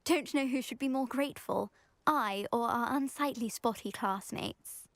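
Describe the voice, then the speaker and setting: A woman acts out a snobbish teenage schoolgirl entrepreneur in a fantasy game. She has a British accent and a highish voice, pompous and affectedly genteel, full of vanity. The recording is very dry and close. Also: moderate pace, no room sound, natural voice. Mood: smug, pleased. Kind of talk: video game character acting.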